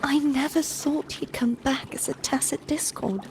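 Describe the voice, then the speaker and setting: High-voiced, earnest young woman knight. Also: steady tone, a little slow, darkish tone, a little hiss or hum. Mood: wistful, sorrowful. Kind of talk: video game character dialogue. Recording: music behind the voice.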